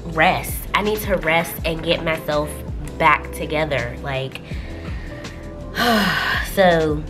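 A woman's voice: low-pitched, slow pace. Stuffy-voiced woman chatting over music. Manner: chatty, laughs often, drawls y'all. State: stuffy from a cold. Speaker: budgeting vlogger. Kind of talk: casual chat while working in a planner. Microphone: camera overhead on a desk.

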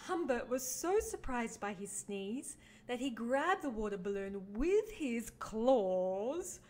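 A woman reading a children's picture book aloud as a teaching artist, with expressive emphasis for young listeners. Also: on the high side, takes it slowly. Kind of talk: animated children's storybook read-along.

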